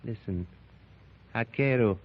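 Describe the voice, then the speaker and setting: An actor playing a scheming Egyptian adventurer who speaks in a measured, sly and formal way in a 1930s radio drama. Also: male voice, mid-range voice, a little hiss or hum, natural voice. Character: smooth scheming Egyptian adventurer, adult man. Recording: tinny old 1930s radio recording.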